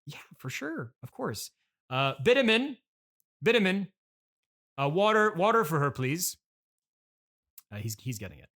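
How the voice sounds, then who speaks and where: A man hosting an improvised comedy interview, speaking in a halting, awkwardly polite, stammering way. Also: on the high side, very animated, a bit bright. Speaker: podcast host and interviewer.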